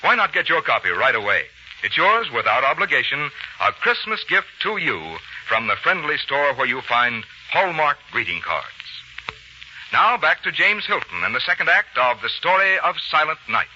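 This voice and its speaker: Old radio ad guy, bright and lively pitch, muffled broadcast. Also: normal speed, a little hiss or hum. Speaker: announcer. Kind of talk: vintage radio advertising read.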